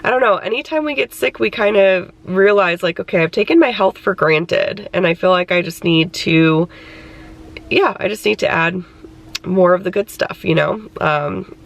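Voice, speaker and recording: A woman's voice: mid-range voice, moderate pace, gravelly, poor audio. A young adult woman vlogging casually about her weight loss, her voice stuffed up from a cold, chatty and full of fillers. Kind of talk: casual chat.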